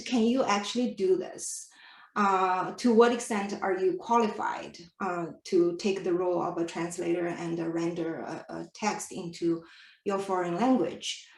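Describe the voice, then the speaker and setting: Woman moderator, mid-pitched voice, hesitant with fillers, roomy echo. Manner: hesitant, many fillers, polite questions. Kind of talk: panel discussion.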